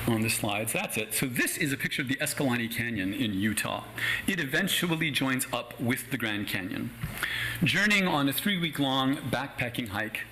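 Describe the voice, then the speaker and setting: A middle-aged American man from Los Angeles, a native Spanish speaker, giving a prepared personal talk as a guest speaker in an articulate, measured way with wry asides. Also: medium pitch, pushed and bright, a little hiss or hum, poor audio. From Los Angeles County, United States.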